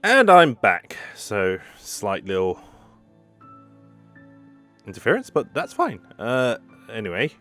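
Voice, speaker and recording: Really deep voiced gamer lady, casual lets play chat, sceptical asides, lively pitch. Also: slow, long pauses, faint music behind. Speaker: gaming streamer. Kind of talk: casual let's-play commentary.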